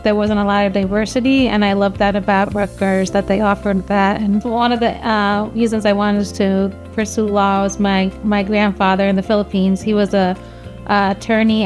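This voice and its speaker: A woman in her thirties or early forties from New Jersey, reminiscing conversationally as an interviewee, in a halting way with small restarts. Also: medium pitch, a little mellow. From grew up in New Jersey. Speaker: law school alumna, interviewee.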